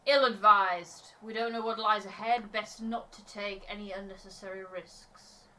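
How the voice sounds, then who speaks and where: A woman's voice: mid-range voice, steady tone, moderate pace, sharp tone, a little hiss or hum, close to an everyday voice, poor audio. A woman voicing a stern, cautious expedition officer with a clipped, formal, disapproving manner, in a story game. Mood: cautious disapproval.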